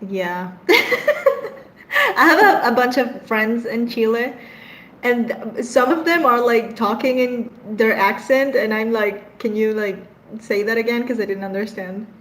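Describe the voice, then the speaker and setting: A young woman from Venezuela with a Venezuelan accent, whose first language is Spanish, chatting casually with her live-stream audience and laughing often, her voice trailing off softly. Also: a little high, expressive, measured pace, rough recording. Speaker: streamer answering live chat.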